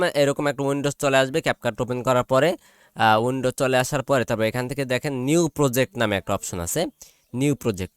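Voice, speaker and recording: Bangladeshi man giving a dry-sounding software tutorial. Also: mid-range voice, smooth, no room sound. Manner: repetitive, often checks in with listeners. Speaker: tutorial presenter. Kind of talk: informal step-by-step software tutorial.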